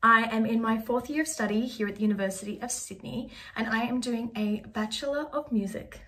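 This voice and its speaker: A woman's voice: on the high side, fairly quick, a bit bright. A woman in her twenties, a music student introducing herself cheerfully into a webcam. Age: 20s.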